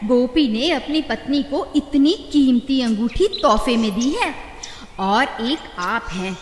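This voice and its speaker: A woman's voice: high-pitched, lively intonation, slow, slight background noise. A woman voicing a nagging, envious village wife, complaining and taunting, in a children's cartoon story. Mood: reproachful. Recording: echoey, reverberant.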